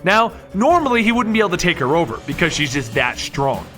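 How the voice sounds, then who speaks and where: Animated, high-pitched male story narrator. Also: brisk, soft background music. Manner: dramatic, emphatic delivery with rhetorical questions. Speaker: comic book story narrator.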